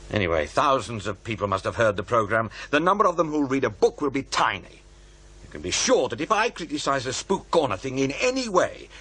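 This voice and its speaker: A man acting a disgruntled, defensive sceptic in an audio drama, with a husky voice. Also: mid-range voice, a bit bright. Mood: gloomy, bitter.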